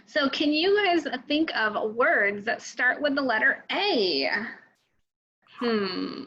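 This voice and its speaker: Bright-voiced woman, slow and slightly muffled. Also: sits high, expressive. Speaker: children's storytime co-host. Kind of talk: casual, child-directed chat.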